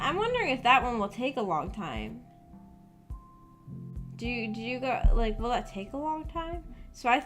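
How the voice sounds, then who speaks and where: Hesitant young American woman streamer over music. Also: mid-range voice. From United States. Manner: hesitant, thinking aloud, trailing off. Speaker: live streamer, visual novel book club host. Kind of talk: casual livestream chat with viewers. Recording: background music under the voice. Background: background music.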